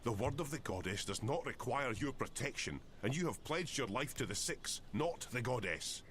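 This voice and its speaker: An actor playing a stern, cynical older sword master who scolds bluntly in a fantasy game. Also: male voice, medium pitch, lively intonation, moderate pace, a bit bright, a little hiss or hum, lightly acted. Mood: scornful, commanding.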